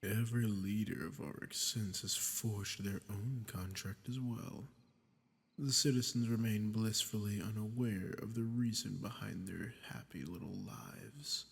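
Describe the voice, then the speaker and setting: A man performs a sadistic archdemon for an audio roleplay, low-voiced and gravelly, in a long cavernous reverb. He is condescending and commanding, taking his time with every threat and sinking into breathy murmurs. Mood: cold storytelling. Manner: slow, menacing, condescending, dropping into breathy murmurs. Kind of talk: scripted audio roleplay addressed to the listener.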